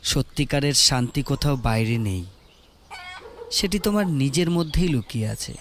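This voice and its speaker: A man voicing a calm, wise ascetic monk who gives gentle, fatherly counsel in a slow, measured way. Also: medium pitch, a little hiss or hum, lightly acted. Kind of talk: audio story dialogue.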